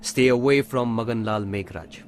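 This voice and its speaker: An actor playing a stern, sardonic police inspector in a radio drama, speaking curtly and in warning tones. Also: male voice, mid-range voice, normal speed, slight background noise, lightly acted.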